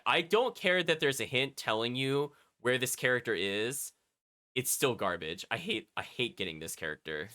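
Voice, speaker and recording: Animated, bright-voiced male game streamer. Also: fairly low pitch. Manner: chatty, often commenting as an aside. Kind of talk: casual livestream commentary, reading game text aloud.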